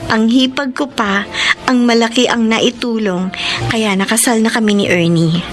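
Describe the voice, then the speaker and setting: An actress with a Filipino accent playing an earnest younger sister-in-law in a heartfelt radio drama. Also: female voice, fairly high pitch, fairly even intonation, normal speed, music in the background, close to an everyday voice. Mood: reflective. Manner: melodramatic, heartfelt.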